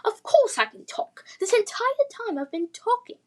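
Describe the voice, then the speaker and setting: A woman's voice: extremely high pitch, very animated, normal speed, voice pushed up. A woman voicing a haughty, fussy talking dragon from a children's fantasy book, with a posh English accent and refined, scolding diction. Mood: exasperated. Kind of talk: children's fantasy read-aloud.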